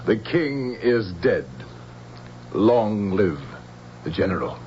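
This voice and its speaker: An actor playing a ruthless, power-hungry general, commanding and scornful, in a radio drama. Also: male voice, on the low side, expressive, slow pace. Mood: scornful triumph. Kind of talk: radio drama villain acting. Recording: dull lo-fi radio recording, faint hiss.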